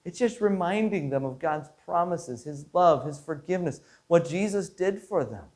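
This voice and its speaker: Higher-pitched man, earnest pastor. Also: lively intonation, normal speed, a little mellow. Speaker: Lutheran pastor. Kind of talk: devotional storytelling message.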